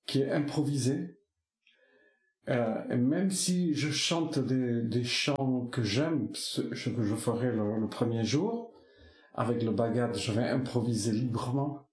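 A middle-aged man whose first language is Basque, a singer answering interview questions slowly and thoughtfully, with many pauses and restarts. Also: medium pitch, roomy sound. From French Basque Country. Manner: slow, searching, many restarts and fillers.

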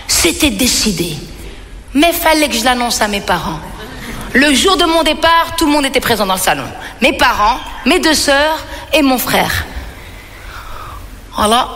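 A woman's voice: a little high, a bit bright. A woman from Belgium performing a stand-up comedy monologue, telling stories animatedly and putting questions to her audience. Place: reverberant venue on stage. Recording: hall reverb with music behind.

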